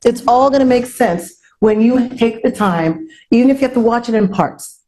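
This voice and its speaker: A woman's voice: fairly high pitch, normal speed, dark tone, low-quality recording. A woman hosting an online panel, talking promotionally and repeating phrases for emphasis. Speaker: host.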